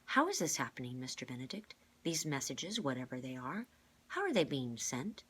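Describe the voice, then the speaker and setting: A woman's voice: extremely low pitch, big pitch swings, normal speed, fairly bright tone, husky, exaggerated voice. A woman voicing a thoughtful, earnest child in a storybook, asking questions plainly. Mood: puzzled.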